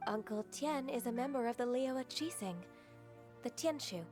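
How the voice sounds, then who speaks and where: An actress playing a gentle, modest young secretary in a video game, speaking politely and formally in measured phrases. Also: female voice, on the high side, music in the background, close to an everyday voice. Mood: polite, admiring.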